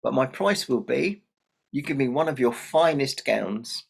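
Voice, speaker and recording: A man's voice: medium pitch, animated, a little slow, gravelly, slightly rough, exaggerated voice. A man voicing a shrewd, helpful old peasant woman in a told folk tale.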